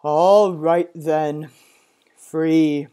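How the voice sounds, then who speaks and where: A woman voicing a loud, blustering male zoo owner who bellows and gets flustered. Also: low voice, steady tone, drawn-out words, smooth. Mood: grudging.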